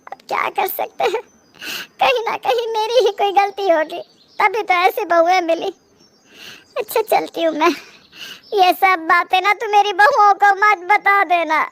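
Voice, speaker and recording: A woman's voice: very high voice, unhurried, a bit bright, heavily acted. A woman voicing a plaintive, martyred elderly mother-in-law who complains in a cartoon moral story. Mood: self-pitying.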